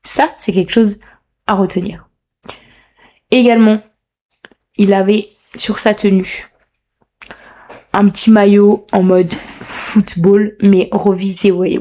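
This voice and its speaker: A woman's voice: mid-range voice, mellow. A young woman talks through her podcast alone from a room at home. She is chatty and playful, teases herself and gushes with enthusiasm. Her throat is a little sore. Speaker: podcast host. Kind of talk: casual solo podcast commentary.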